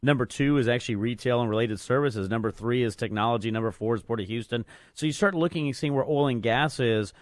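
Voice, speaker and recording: A man's voice: medium pitch, no echo, clean studio sound. A man hosting a business talk radio interview, chatty and conversational, often restarting his phrases. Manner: rapid, conversational, repeats words when restarting. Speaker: guest radio host.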